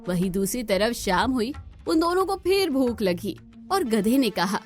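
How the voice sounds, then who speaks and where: A woman's voice: a little high, sing-song, a little slow, soft background music. A woman reading scripted narration for a cartoon moral story.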